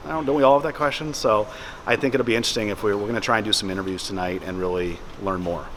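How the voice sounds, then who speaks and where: A man's voice: lowish voice, very fast, in a large hall, a loud crowd, poor audio. A man talking casually as the host of a vlog. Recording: faint background noise.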